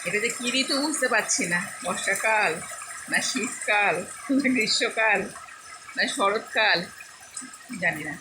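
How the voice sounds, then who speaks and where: A woman talks casually to her viewers over clearly audible rain. Her voice sits at a medium pitch. She muses aloud in short, repetitive remarks. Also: measured pace, long pauses. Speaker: vlogger addressing viewers. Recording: loud rain noise over voice. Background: steady rain and falling water.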